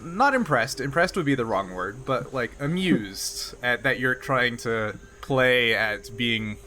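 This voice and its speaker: A man working as game master, narrating a tabletop game off the cuff in a halting, conversational way. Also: mid-range voice, lively intonation, bright, forceful tone.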